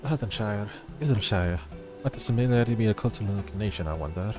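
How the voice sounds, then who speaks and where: Fast-talking male game streamer. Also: fairly low pitch, lively intonation. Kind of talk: reading game dialogue aloud and casual stream chat. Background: game music.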